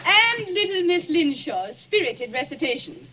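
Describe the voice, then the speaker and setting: A stately lady speaks very high and formal to a hall. The dull vintage radio sound carries faint hiss. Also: sing-song, measured pace. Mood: solemn. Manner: formal, declaiming. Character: stately older lady giving a public speech. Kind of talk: 1940s radio acting. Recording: dull old radio broadcast, heard from afar.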